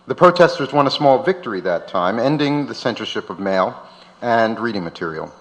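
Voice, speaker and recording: A man's voice: medium pitch, animated, a little fast, a bit bright, low-quality recording. A man narrating from a script aloud as the host of a live event.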